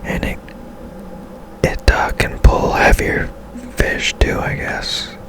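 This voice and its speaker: Whispering man, slow ASMR game commentary. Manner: hushed, casual, unhurried musing. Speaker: game streamer, ASMR host.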